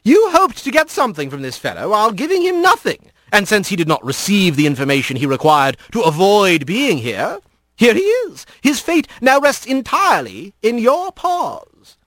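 A man's voice: high-pitched, huge pitch swings, normal speed, a bit bright, no echo, voice pushed up, heavily acted. A man voicing a sly, lordly fox spirit in a storybook, airy and mock-polite with a teasing, cutting edge. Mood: lightly cutting. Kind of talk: animated storybook character reading.

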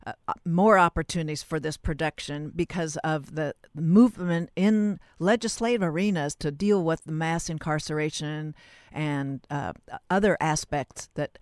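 A woman hosting a radio interview in a conversational, halting, roundabout way. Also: low voice, steady tone, no echo, clean studio sound.

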